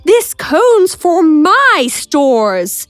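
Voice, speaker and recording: A woman's voice: very high-pitched, big pitch swings, extremely slow, faint music behind, voice pushed up, full character voice. A woman voicing a greedy, anxious male squirrel in a storybook, exclaiming in a bossy way. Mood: indignant, possessive. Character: greedy, anxious, hoarding male squirrel who plans ahead.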